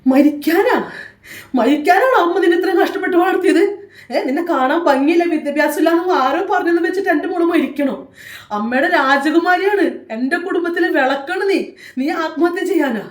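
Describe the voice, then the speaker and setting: A woman's voice: very high-pitched, a little fast, roomy sound, far from the mic. A woman playing a loving mother who consoles her daughter over the phone and urges her not to give up. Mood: consoling, fierce. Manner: emotional, consoling, fiercely encouraging. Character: loving middle-aged mother, fierce and protective.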